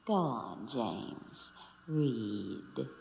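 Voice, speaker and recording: A woman's voice: extremely low pitch, animated, drawn-out words, vocal fry, darkish tone, lo-fi sound, heavily acted. An actress playing a lovestruck, imperious female ship computer in melodramatic 1950s radio drama style. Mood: haughty, wounded. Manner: melodramatic, yearning. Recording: 1950s radio recording, long reverb, noisy.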